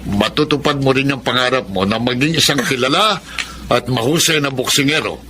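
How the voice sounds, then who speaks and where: An actor playing an encouraging boxing coach in a Filipino radio drama, with a hearty, reassuring manner. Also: male voice, mid-range voice, a little fast, bright, forceful tone, slight background noise, lightly acted.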